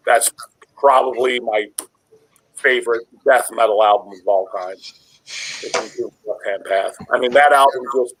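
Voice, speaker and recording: A man's voice: mid-range voice, fairly bright tone, no room sound, rough recording. A middle-aged man who hosts a channel, chatting casually about music in a rambling, repetitive way. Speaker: metal video channel host. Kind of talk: casual group chat about metal.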